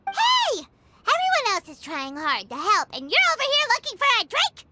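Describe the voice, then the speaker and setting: A woman voicing a small, excitable, nosy childlike fairy companion in a video game, squeaky and exclamatory. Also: moderate pace, heavy background noise, full character voice. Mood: indignant. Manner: exclamatory, speaks of herself in third person.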